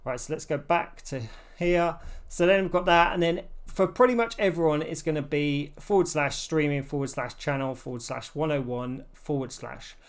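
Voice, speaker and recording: Tech tutorial presenter: male, forties, voice a little on the high side, informal instructional delivery. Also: moderate pace, clean tone. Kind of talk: casual step-by-step screen tutorial.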